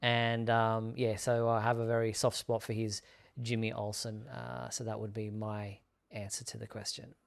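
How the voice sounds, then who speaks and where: Clear-voiced man hosting. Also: on the low side, monotone, normal speed. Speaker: live show host. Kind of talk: casual live show presenting, reading viewer messages.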